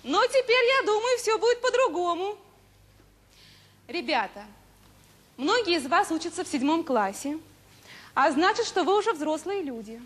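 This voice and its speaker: An actress playing an officious, preachy teacher in a radio drama, addressing children in a declamatory, stiffly didactic way. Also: female voice, very high voice, big pitch swings, normal speed, pauses often, fairly bright tone, full character voice. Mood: preachy, admonishing.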